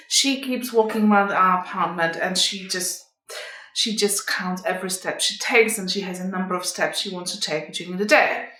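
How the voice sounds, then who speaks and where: A middle-aged woman giving advice to viewers as a vlog host, in a measured, explanatory manner. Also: medium pitch, a bit bright. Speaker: communication coach and vlog host. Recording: echoey room, distant microphone.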